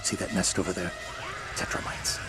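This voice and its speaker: A man playing a gruff, seasoned military guide in a video game, gravelly and terse as he gives commands. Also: moderate pace, over loud music, natural voice. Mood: wary, urgent. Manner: terse, low warnings.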